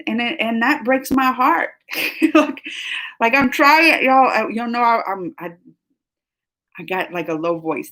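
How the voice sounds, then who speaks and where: A woman's voice: medium pitch, a bit bright. A woman in her thirties hosting a casual live stream, talking in an emotional, rambling way with many restarts and fillers. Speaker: livestream host.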